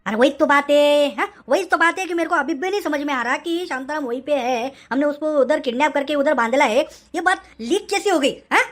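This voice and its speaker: A man's voice: extremely high pitch, fairly quick, fairly bright tone. A man voicing bickering cartoon men, cops and crooks, in an emphatic, taunting, repetitive way for a comedy. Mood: irritated. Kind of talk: Marathi comedy cartoon dialogue.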